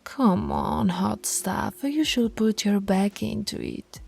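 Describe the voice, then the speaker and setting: A woman playing a dominant, teasing female alpha werewolf pack leader in an ASMR roleplay, commanding and often slipping into a breathy whisper. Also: mid-range voice, takes it slowly, darkish tone, faint music behind, heavily acted. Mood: stern, bossy.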